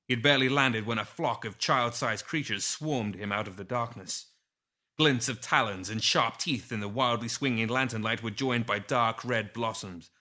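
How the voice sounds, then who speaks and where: A man's voice: mid-range voice, bright, forceful tone. A man reading scripted fiction aloud as a storyteller, with a theatrical, suspenseful delivery. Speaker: story reader. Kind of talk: scripted dramatic fiction narration.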